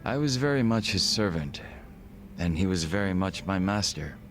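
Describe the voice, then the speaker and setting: A man voicing a refined, reserved gangster in a video game cutscene, speaking in formal, measured, polite phrases. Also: deep voice, lively intonation, faint music behind. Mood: wistful, composed. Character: refined, reserved Korean gangster, a former body double.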